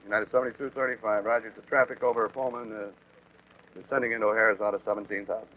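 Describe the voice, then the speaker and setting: A man's voice: fairly low pitch, steady tone, fast talker, phone-quality sound. A man, an air traffic controller, giving clipped instructions over a noisy old radio. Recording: thin, very noisy radio with clicks.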